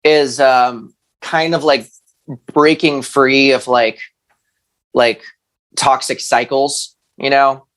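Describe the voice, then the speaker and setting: A man's voice: mid-range voice, fairly even intonation, takes it slowly, pauses often, fairly bright tone. A thirty-year-old man chatting casually as a musician guest in an interview, hesitant and rambling, with slangy phrasing.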